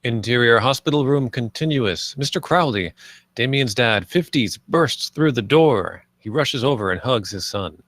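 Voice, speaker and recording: A man's voice: mid-range voice, animated, normal speed, a bit bright, no room sound, clean studio sound. A man reading stage directions as the narrator of a screenplay table read.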